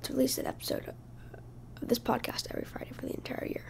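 A woman hosting her own podcast, speaking casually while hoarse from losing her voice, halting and often dropping to a breathy whisper. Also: darkish tone. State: hoarse, lost voice. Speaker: podcast host. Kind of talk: casual solo podcast talk.

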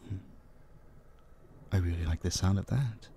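A man playing an obsessive, possessive vampire captor in an intimate audio drama, hushed, teasing and dominant, menacingly sweet, dipping into whispers. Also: low-pitched, a little slow, a little hiss or hum. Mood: tender, possessive. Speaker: voice actor. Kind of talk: intimate roleplay audio drama, addressing the listener.

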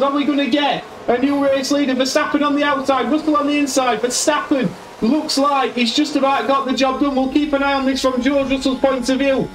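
A man's voice: extremely high pitch, reverberant, recorded from a distance, a little hiss or hum, low-quality recording. Yorkshire man calling a racing game. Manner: chatty, excitable play-by-play. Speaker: gaming YouTuber. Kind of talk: game commentary.

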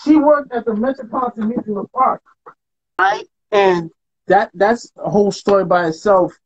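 A man's voice: sits high, moderate pace, dull audio. A middle-aged man from Trinidad and Tobago, telling stories in a casual, slangy interview chat over a video call from home. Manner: laid-back storyteller, slangy, laughs often. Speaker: artist guest. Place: home room with painted walls. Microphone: video call webcam.

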